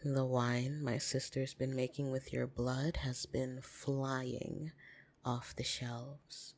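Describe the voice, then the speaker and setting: A voice actor playing a flirtatious, possessive upper-class vampire in an intimate ASMR roleplay, teasing and soft-spoken with breathy whispers. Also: medium pitch, little pitch movement, takes it slowly. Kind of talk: ASMR roleplay, intimate second-person monologue.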